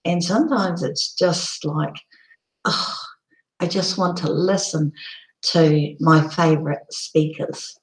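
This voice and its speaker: A low-voiced woman with a hoarse, croaky edge talks slowly through a casual live stream. She rambles along with plenty of ums and false starts, and her voice rings in an echoey room. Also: fairly even intonation. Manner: rambling, lots of ums and restarts.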